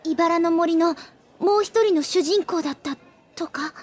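Very high-voiced eager schoolgirl. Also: measured pace. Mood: earnest deducing. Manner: earnest, excitable deduction. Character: eager, quick-witted schoolgirl sleuth. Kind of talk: anime audio drama acting.

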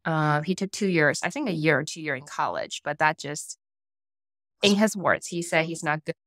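A thoughtful young woman talks through her ideas as a podcast co-host, often checking in with a "right?". Her voice is a little low, and the sound is dry and close from a laptop webcam in a small room. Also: animated, normal speed, a bit bright, no echo. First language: Mandarin. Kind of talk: casual chat. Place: small plain room indoors. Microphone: laptop webcam on a video call.

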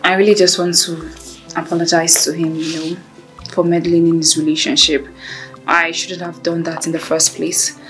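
An actress playing an earnest young woman job seeker, soft-spoken. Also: low voice, little pitch movement, moderate pace, over music, natural voice. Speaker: actor.

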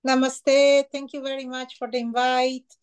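A middle-aged woman from Peru, speaking slowly and reverently as a healer on a video call. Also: high-pitched, little pitch movement, smooth. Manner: slow, soft-spoken, reverent gratitude lists. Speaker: shamanic healer guest. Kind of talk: spiritual talk on a video call. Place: small decorated room indoors. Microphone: video call webcam.

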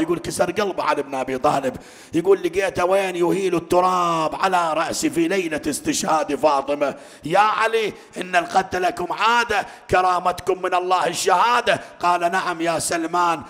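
A high, piercing man's voice, echoing with long reverb through a hall. He delivers a mournful religious lamentation as dramatic storytelling, stretching his words with tearful emphasis. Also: pushed and bright. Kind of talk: Shia lamentation sermon, dramatic storytelling with chanted passages.

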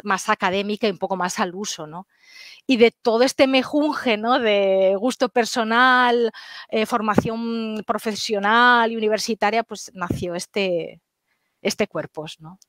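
A woman in her forties from Galicia whose first language is Galician, speaking as a historian guest over a video call with thoughtful pauses and tag questions. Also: mid-range voice, fairly bright tone, no room sound. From Galicia, Spain. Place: home study lined with bookshelves. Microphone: headset on video call.

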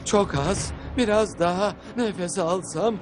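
A man's voice: sits high, slow pace, heavy background noise. An actor playing a cowardly, servile villain's henchman in a comic cartoon dub, stammering and fawning. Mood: whining. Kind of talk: comic dubbed cartoon acting. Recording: loud music behind the voice.

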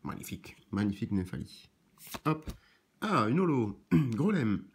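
Man, mid-pitched voice, slightly hoarse, casual card-unboxing chatter, rattles off card names. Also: very animated. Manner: reads card names in quick succession, chatty asides. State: slightly hoarse, clearing throat. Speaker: card shop owner and YouTuber. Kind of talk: casual card-opening vlog. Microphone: camera framing hands and cards, speaker unseen.

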